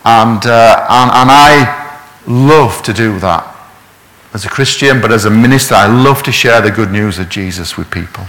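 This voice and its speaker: A man's voice: on the low side, normal speed, a bit bright. A man with a Yorkshire accent, a minister giving a conversational sermon with many fillers and restarts. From Yorkshire, England. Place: church. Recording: long hall reverb.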